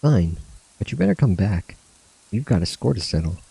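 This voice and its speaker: A man's voice: fairly low pitch, big pitch swings, moderate pace, gravelly, mellow, slightly rough, dry recording, heavily acted, low-quality recording. A man reading out game cutscene dialogue, voicing earnest young student warriors in a fantasy story. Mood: wistful, friendly. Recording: music under the voice.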